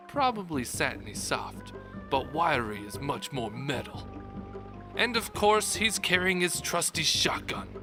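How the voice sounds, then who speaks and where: A man giving a dramatic, mock-grand reading of a scripted game review. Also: on the high side. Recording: music behind the voice. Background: background music.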